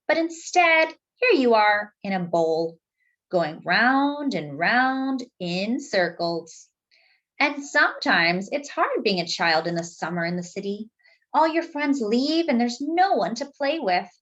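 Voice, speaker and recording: A woman reading a picture book aloud to young children as a storytime host, expressive and speaking directly to her listeners. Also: on the high side, muffled recording.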